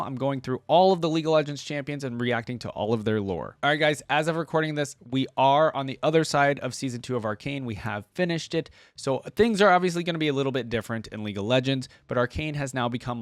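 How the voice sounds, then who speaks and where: A man's voice: medium pitch, no echo. A man hosting a reaction video, switching between reading aloud and casual conversational commentary. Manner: conversational asides between read-out passages. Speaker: YouTube host reacting to game lore.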